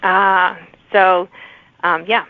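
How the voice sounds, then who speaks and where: A woman's voice: medium pitch, little pitch movement, very slow, phone-quality sound. A woman hosting a podcast, introducing guests and interviewing them. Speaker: podcast host.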